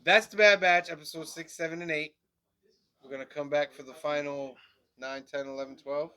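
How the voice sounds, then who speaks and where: A man co-hosting a casual review chat, with a stuffy, nasal voice from allergies and a relaxed, chatty manner full of "um"s. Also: a little high, normal speed, crowd noise. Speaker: podcast co-host.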